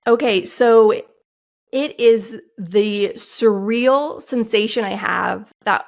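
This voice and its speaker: A woman's voice: a little high, takes it slowly. A woman guest on a podcast, telling a story in a passionate, outspoken way. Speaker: guest, screenwriter. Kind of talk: interview answers.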